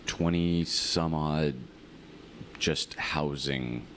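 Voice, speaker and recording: A man co-hosts a podcast in easygoing, casual chat. His voice is extremely deep, and he lays out practical details in a matter-of-fact, step-by-step way. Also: extremely slow, fairly bright tone, slight background noise. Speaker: podcast co-host.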